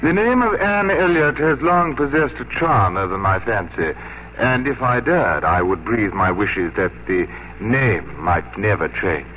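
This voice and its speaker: An actor playing a smooth, over-polished gentleman suitor in a 1950s radio drama, florid, suave and flattering. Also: fairly low pitch, measured pace. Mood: flattering, amorous. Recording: muffled, noisy 1950s radio recording.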